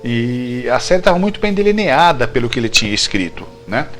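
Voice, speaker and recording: A man's voice: medium pitch, very animated, brisk. A Brazilian man in a casual interview, telling stories as a guest, often repeating phrases and checking in with the listener. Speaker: guest writer telling behind-the-scenes history of a TV series. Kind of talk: animated casual interview storytelling.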